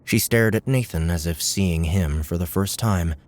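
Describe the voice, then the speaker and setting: Deep-voiced man narrating a science fiction audiobook. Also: normal speed, darkish tone.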